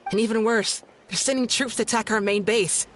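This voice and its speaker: A woman's voice: on the high side, fairly quick, slight background noise, natural voice. A woman voicing an alarmed scout in a video game, giving urgent reports. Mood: worried. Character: alarmed female scout reporting enemy movements.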